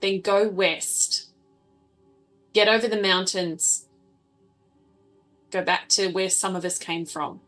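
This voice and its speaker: A woman's voice: medium pitch, steady tone, slow, pauses often, fairly bright tone, soft background music, poor audio. A woman playing a blunt, commanding female wasteland survivor in a tabletop game, giving firm orders. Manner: firm, imperative. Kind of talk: tabletop roleplaying character voice.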